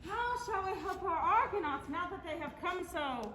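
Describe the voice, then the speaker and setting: High-pitched scheming goddess played by a woman. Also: lively intonation, normal speed, sharp tone, soft background music, heavily acted. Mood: plotting. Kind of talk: theatrical stage acting. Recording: echoey stage recording.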